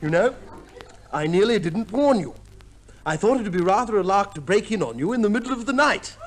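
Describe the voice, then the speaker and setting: An actor playing a jovial soldier husband home from the war, bantering and exclaiming in 1950s radio comedy style. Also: male voice, high-pitched, expressive, brisk. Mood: jovial, hearty. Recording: noisy old radio recording.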